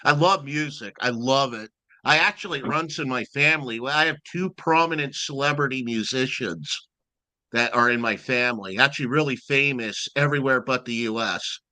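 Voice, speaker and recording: Loud, bright-voiced man rambling along. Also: mid-range voice, normal speed, no room sound. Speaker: guest, event timekeeper. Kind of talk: casual interview chat.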